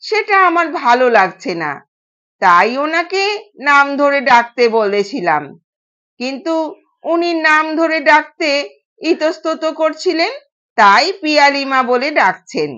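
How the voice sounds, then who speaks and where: Woman as a gentle high-voiced young bride. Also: expressive, takes it slowly, highs cut off, full character voice. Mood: polite. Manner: gentle, polite, quietly insistent. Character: kind, earnest young newlywed daughter-in-law.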